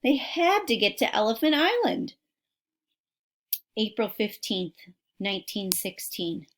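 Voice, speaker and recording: A woman's voice: mid-range voice, big pitch swings, a little slow, fairly bright tone. A woman reading a children's nonfiction story aloud like a teacher, with dramatic emphasis and direct asides to her listeners. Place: at home.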